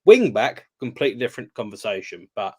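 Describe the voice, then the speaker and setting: A man's voice: lowish voice, sing-song, fast talker, slightly rough. A man on a casual football podcast, hesitant and rambling with many ums. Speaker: guest panelist.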